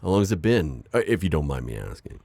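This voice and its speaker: A man voicing a gentle, self-deprecating divorced construction-worker dad in an ASMR roleplay, hesitant and stammering with soft jokes. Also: a bass voice, sing-song, quick pace, gravelly, breathy edge, no echo, heavily acted. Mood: shy, flirty. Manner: hesitant, full of ums and stammers, joking softly. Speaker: voice actor.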